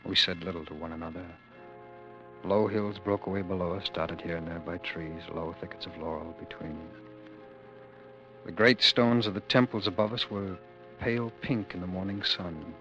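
An actor playing a tender, anguished man fleeing war, in halting, solemn 1940s radio drama style. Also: low-pitched, highs cut off, lightly acted. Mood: solemn, grieving. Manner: halting, repeating words, solemn. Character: fleeing lover narrating war's end, anguished, tender, devoted man. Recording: vintage radio broadcast with music.

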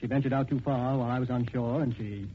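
A man's voice: mid-range voice, fairly even intonation, close to an everyday voice. Guy playing upset middle-aged widower, stammery n earnest, 1940s radio drama, muffled bit noisy. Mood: grieving. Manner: halting, distraught, earnest. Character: anxious, grieving wealthy middle-aged widower.

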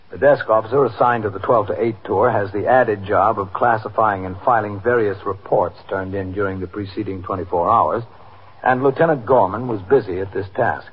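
Calm low voiced police captain, measured, matter of fact, dull 50s radio sound. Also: male voice, breathy edge. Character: calm, probing New York police captain, narrating. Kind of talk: 1950s radio drama acting and narration. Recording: dull, muffled 1950s radio recording.

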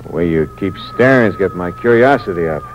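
Cold-blooded young American killer, 1940s radio noir. Low voice, animated intonation, terse slang. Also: male voice, a little fast. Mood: wary, smooth. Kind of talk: 1940s radio thriller acting, first-person hardboiled narration. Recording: muffled old radio recording with hum and hiss.

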